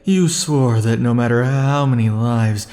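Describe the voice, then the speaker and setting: A man voicing a clingy, possessive immortal male lover in an ASMR roleplay, murmuring softly with sighs. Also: medium pitch, unhurried, close to an everyday voice. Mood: brooding. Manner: soft, sighing, lingering murmur.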